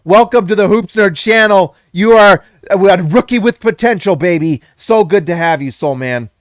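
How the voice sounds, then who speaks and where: An excitable man talking through a basketball game reaction, with a voice pitched a little high. He repeats his points for emphasis and corrects himself on the fly. His voice is getting hoarse, and it sits close and dry with no room around it. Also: moderate pace, dry recording. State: losing his voice. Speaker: sports channel host. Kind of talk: casual postgame basketball reaction.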